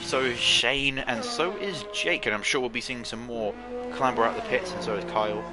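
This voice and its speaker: A man co-commentates a live sim racing league, calmly running through lap times and tyre news and reading out chat messages. His voice has a middling pitch. Very loud music and engine sounds play behind him. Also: moderate pace. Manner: informative, reads out chat messages. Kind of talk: live esports race commentary.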